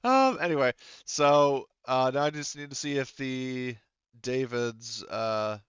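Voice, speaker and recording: A man working as game master, talking casually through tabletop rules in a halting way, thinking aloud. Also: medium pitch, a bit bright, clear, resonant tone, no room sound, lo-fi sound.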